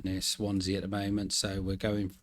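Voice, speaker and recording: A man's voice: sits low, completely flat delivery, normal speed. A man in his fifties giving laid-back commentary while playing a truck driving game, chatting and thinking aloud. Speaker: gaming channel host. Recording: loud truck engine and road noise behind. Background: driving game truck sounds.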